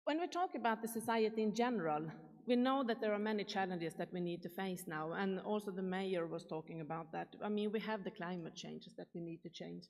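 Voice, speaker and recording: A woman's voice: medium pitch, a little fast. A woman from Sweden with a Swedish accent, giving a chatty conference keynote and asking the audience questions. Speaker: keynote speaker. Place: large conference hall. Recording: reverberant hall.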